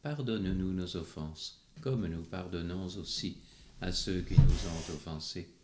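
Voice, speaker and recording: A man reciting the responses of a rosary in an even, measured, prayerful way. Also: low-pitched. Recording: echoey room.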